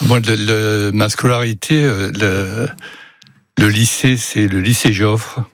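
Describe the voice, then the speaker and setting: A man's voice: lowish voice, fairly even intonation, slow, no echo, studio quality. An older man, a guest on a live radio show, telling stories about his schooldays with self-mocking laughter. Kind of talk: casual radio chat.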